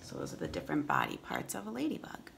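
A woman's voice: very deep voice, huge pitch swings, fast talker, creaky, noisy background. A woman in her thirties reading a children's picture book aloud as a teacher, enunciating for young listeners and explaining as she goes. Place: room in a home.

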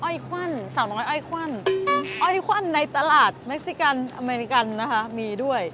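A woman in her thirties chats eagerly to her viewers while filming on a handheld phone. Her voice sits a little high and bubbles with quick exclamations. Background music plays clearly under her talk. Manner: chatty, addresses viewers directly, excitable. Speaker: vlogger. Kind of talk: casual grocery vlog and food tasting. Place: supermarket aisles and parked car. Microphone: handheld phone camera at arm's length.

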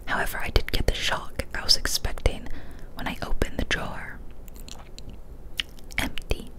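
A young woman whispering softly and breathily, close to the microphone, in a careful, hushed ASMR storytelling style. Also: moderate pace, rough recording. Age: young adult. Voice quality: soft, breathy unvoiced whisper. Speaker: ASMR creator reading scary stories. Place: small decorated room, indoors. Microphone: close studio microphone on a boom arm.

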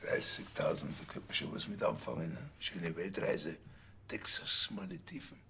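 A man, playing a gruff, stingy village cop who talks in a blunt, grumbling way. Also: deep voice, big pitch swings, normal speed, bright, forceful tone, slight background noise, full character voice. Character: gruff, stingy, hypochondriac male Bavarian cop.

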